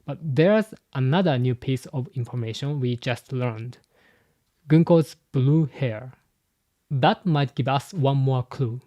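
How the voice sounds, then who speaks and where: A man hosts his channel, walking through his points in a methodical, scripted way. His voice sits at an ordinary middle pitch. The sound is close and dry, with no room echo. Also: slow pace, warm tone. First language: Japanese. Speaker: YouTube host and translator. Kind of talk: scripted commentary.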